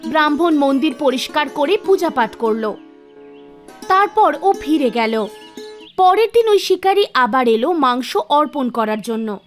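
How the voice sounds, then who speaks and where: A woman narrates a cartoon folk tale in a very high-pitched voice. It is scripted storytelling, with music playing behind her. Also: animated, a little slow. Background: background music.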